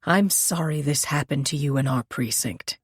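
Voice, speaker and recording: A woman voicing a calm, official older male police lieutenant. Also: a little high, moderate pace, slightly rough, dry recording, studio quality. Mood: businesslike.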